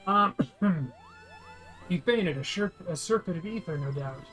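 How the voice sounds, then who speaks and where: A man's voice: high-pitched, lively intonation, unhurried, a bit bright, faint music behind. A man giving casual, sarcastic, sweary game commentary that describes the scenes for blind players. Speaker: host of a descriptive let's play for blind players.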